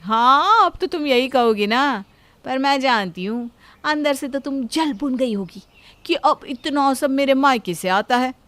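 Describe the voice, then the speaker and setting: Woman acting a boastful, high-pitched sister-in-law. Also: lively intonation, unhurried, a bit bright, dry recording. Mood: taunting. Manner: gloating, haughty, showy. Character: boastful, taunting young Indian elder sister-in-law. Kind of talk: Hindi family moral-story acting.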